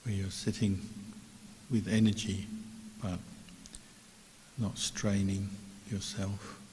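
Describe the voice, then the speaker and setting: A man teaching guided meditation as a Buddhist monk, calm and measured, with long pauses between phrases. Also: low voice. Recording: echoey hall reverb with clear hiss.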